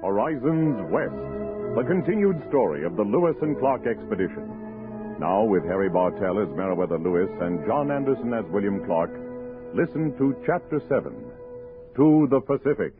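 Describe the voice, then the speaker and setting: A man performs a solemn American narrator of a frontier radio saga. His voice is low and formal, speaking scripted lines. The sound is a narrow, muffled old broadcast with music. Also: expressive, moderate pace, natural voice. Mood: solemn, dramatic. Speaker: narrator, announcer.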